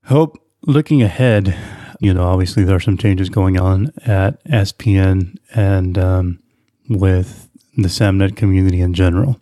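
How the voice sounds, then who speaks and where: A man's voice: sits low, a little slow, dark tone, no echo, clean studio sound. A man hosting a podcast interview in a conversational style.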